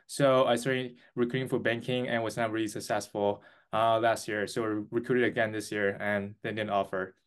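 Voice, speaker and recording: A man's voice: fairly low pitch, little pitch movement. Earnest young Taiwanese-born man. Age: 20s. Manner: earnest, halting with restarts and fillers. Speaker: guest. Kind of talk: interview answers.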